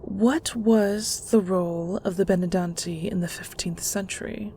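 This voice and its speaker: A woman playing a controlling, middle-aged mother in an audio roleplay, with condescending sweetness and a patronizing, deliberate delivery. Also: mid-range voice, fairly even intonation, mellow, a little hiss or hum, natural voice. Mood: stern interrogation. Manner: patronizing, slow, deliberate interrogation.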